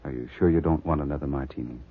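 An actor playing a suave, formal man, a detective's client in a 1940s radio drama, speaking in measured phrases. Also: extremely low pitch, fairly even intonation. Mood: flirtatious. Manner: measured, formal, smooth-talking. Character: suave, flirtatious, uneasy wealthy male client. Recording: muffled 1940s radio recording.

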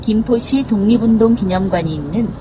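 A woman's voice: medium pitch, fairly quick. Woman's noisy bus stop announcement. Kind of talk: scripted transit announcement. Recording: clearly noisy announcement recording.

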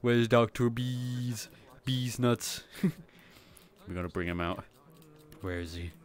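A man streaming in a virtual world, chatting casually and laughing often. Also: medium pitch. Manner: giggly, teasing, quick to laugh. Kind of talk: casual livestream banter.

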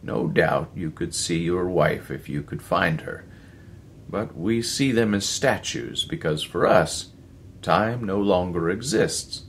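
A man's voice: deep voice, animated, slow pace, vocal fry, slight background noise, heavily acted. A man voicing a grim male scientist in a story, speaking in a grave, explanatory manner.

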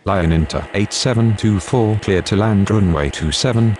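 A man's voice: fairly low pitch, moderate pace, darkish tone, close to an everyday voice. A man playing an air traffic radio voice in a game, speaking clipped, procedural scripted phraseology.

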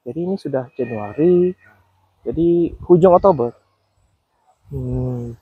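A man's voice: medium pitch, takes it slowly, breathy edge, phone-quality sound. A Malaysian man with a Malaysian accent chatting outdoors in rice paddies, curious and asking questions, repeating answers back. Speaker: vlogger. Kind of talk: casual walking vlog chat. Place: outdoors in rice paddy fields. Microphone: body-worn action camera.

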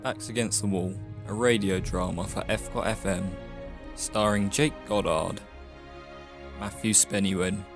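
Guy reading radio play credits, kinda low voice, music behind him. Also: steady tone, measured pace. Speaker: credits announcer. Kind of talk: scripted announcement.

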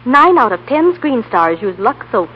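Lively, husky American woman on radio. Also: on the high side, unhurried. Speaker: radio commercial co-presenter. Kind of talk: scripted 1930s radio advertising dialogue. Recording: muffled 1930s radio broadcast.

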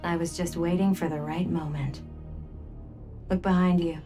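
Game thief played by a woman: flirtatious, sly, slightly low register, score behind her. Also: fairly even intonation, moderate pace, over music, natural voice. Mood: teasing. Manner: teasing, sultry. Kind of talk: video game cutscene acting.

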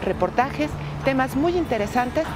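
A woman in her forties reading a scripted show promo outdoors, in an inviting, smooth way. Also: high voice, lively intonation, measured pace. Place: outdoors by bushes. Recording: loud music under the voice.

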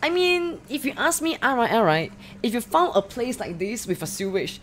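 A woman's voice: a little high, big pitch swings, normal speed, a bit bright, slight background noise. A young woman with a Singaporean accent, livestreaming a horror game and talking to her chat, swearing and shrieking at scares. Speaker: streamer. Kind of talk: live gameplay commentary. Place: small bedroom. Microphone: studio mic on arm with headphones.

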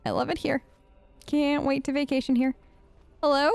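A woman's voice: high-pitched, flat delivery, moderate pace, vocal fry, no echo. A woman doing casual role-play gaming commentary as a let's play host, with a hesitant, wry manner.

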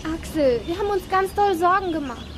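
A woman's voice: extremely high pitch, lively intonation, a little fast, over loud music. An actress playing a caring, worried young girl in a children's audio drama. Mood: concerned.

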